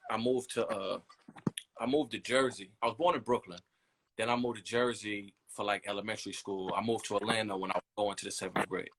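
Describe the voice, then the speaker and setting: A man in his thirties, a brand designer, chatting in a relaxed interview and rambling easily with lots of "you know what I mean". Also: on the low side, brisk, background noise. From United States. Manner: easygoing, rambling, leans on "you know what I'm saying".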